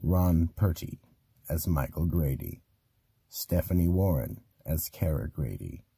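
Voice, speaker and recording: Male mystery-theatre narrator: very low, husky and creaky, slow, ominous scripted storytelling. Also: expressive, mellow, dry recording, clean studio sound.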